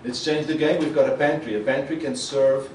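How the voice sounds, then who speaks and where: Middle-aged man, Afrikaans speaker, animated conference talk, roomy hall, voice a little distant. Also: medium pitch, brisk, slight background noise. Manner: animated, gestures a lot, acts out quoted reactions. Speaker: conference presenter. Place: conference hall with projector screen. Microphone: podium mic. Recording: echoey hall, mic a bit far.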